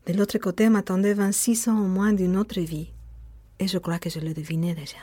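A native Spanish-speaking woman with a Spanish accent, narrating a personal memoir in an intimate, measured, reflective way. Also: mid-range voice, little pitch movement, mellow, slightly rough, dry recording. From Spain. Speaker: narrator of an autobiographical sound piece. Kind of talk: intimate scripted autobiographical narration.

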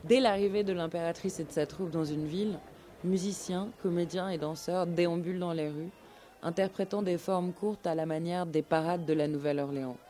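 Low, mellow woman narrator. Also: steady tone, moderate pace. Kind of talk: scripted documentary storytelling for radio.

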